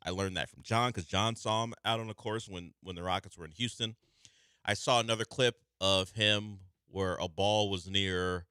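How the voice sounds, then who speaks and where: A man hosting a sports radio show, talking through the news in a conversational, measured way from a studio microphone. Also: low voice, pushed and bright, smooth, no room sound. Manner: conversational, measured, thinking aloud.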